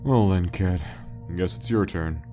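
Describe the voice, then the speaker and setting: A low, weary male skeleton monster played by a man tells his story over faint music. He is a guilt-laden joker, subdued and wistful, halting as he speaks in a dramatic comic dub. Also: warm tone, close to an everyday voice. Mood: apologetic, resigned.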